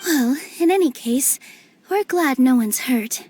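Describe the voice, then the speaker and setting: A woman's voice: sits high, expressive, a little slow, a little mellow, a little hiss or hum. A woman voicing a polite, friendly young female traveler heroine in a video game, composed in manner. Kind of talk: English video game voice acting.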